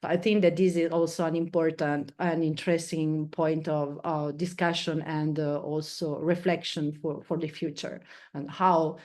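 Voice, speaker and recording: A woman's voice: deep voice, level tone, normal speed, a little mellow. A woman moderating an online panel, hesitant with many fillers, losing her voice. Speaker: webinar moderator.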